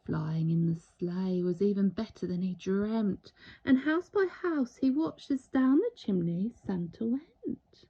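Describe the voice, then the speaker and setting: Animated woman reading a children's story. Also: medium pitch, slightly rough, highs cut off. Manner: theatrical, deliberate, storytelling. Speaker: storybook reader. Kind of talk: expressive children's read-aloud narration.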